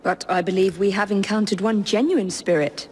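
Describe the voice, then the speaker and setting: An actress playing a courteous, composed young Victorian Englishwoman in a video game, measured and earnest, with an English accent. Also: mid-range voice, steady tone, darkish tone, slight background noise, close to an everyday voice. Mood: polite, composed. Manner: polite, measured. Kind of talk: video game cutscene acting.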